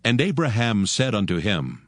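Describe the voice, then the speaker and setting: A man narrating scripture from a script in a measured, formal manner. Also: lowish voice, big pitch swings, no room sound, clean studio sound.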